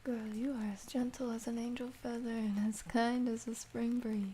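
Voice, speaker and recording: A woman livestreamer speaking softly and gently in ASMR style, drifting between a soft voice and whispers as she chats to viewers. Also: fairly high pitch, flat delivery, normal speed, a little hiss or hum. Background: soft rain ambience.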